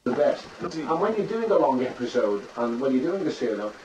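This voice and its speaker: A man's voice: mid-range voice, sing-song, fairly quick, darkish tone, far from the mic, dull audio, slight background noise. A man interviewing guests as a local radio host.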